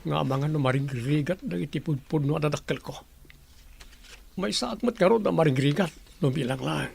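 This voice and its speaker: An actor playing a troubled adopted grandson in a radio drama, with a raspy, gravelly voice. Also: male voice, a little high, a little fast, darkish tone, full character voice. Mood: brooding.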